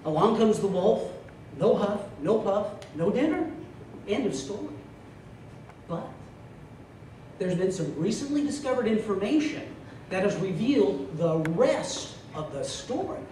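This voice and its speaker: A man's voice: sits high, sing-song. Theatrical male storyteller in an echoey room. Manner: theatrical, with drawn-out punchlines. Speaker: contest speaker telling a humorous tale. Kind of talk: humorous storytelling speech to a live club audience. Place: meeting room before an audience. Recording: echoey room from far off, loud noise.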